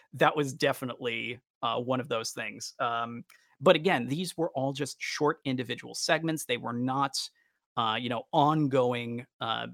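A man talks casually as a podcast co-host, with noticeable vocal fry in a mid-pitched voice. He is enthusiastic but halting as he works through his thoughts. The sound is close and dry. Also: a bit bright, dry recording. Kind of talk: casual fan podcast discussion.

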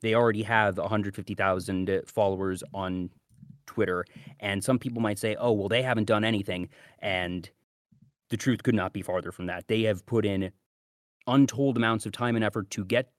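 Low-voiced man co-hosting a podcast. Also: fairly even intonation, moderate pace, no echo, studio quality. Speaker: podcast co-host. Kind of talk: casual fandom discussion.

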